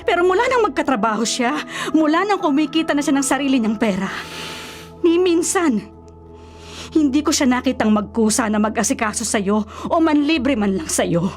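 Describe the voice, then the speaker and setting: Fussing, animated Filipino mother. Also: sits high, moderate pace, soft background music. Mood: upset, pleading. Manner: fussing, nagging, expressive. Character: older worried, caring mother of a grown son. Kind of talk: Filipino radio drama acting.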